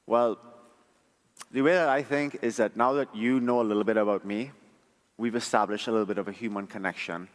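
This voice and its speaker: Deep-voiced man in an echoing hall. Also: fairly even intonation, fairly quick. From Trinidad. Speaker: conference speaker, front-end engineer. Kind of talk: prepared conference talk. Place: large conference room. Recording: echoey hall, long reverb.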